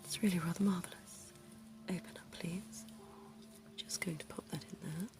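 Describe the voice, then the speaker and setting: A woman voicing a centuries-old, genteel female vampire dentist in an ASMR roleplay, speaking in a soft, posh, coaxing whisper. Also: on the low side, steady tone, normal speed, over music, natural voice. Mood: soothing.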